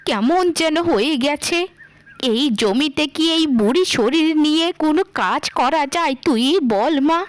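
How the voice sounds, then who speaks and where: A woman's voice: high-pitched, animated, measured pace, dry recording. A woman voicing a poor, affectionate old village widow in a melodramatic cartoon, tender and plaintive.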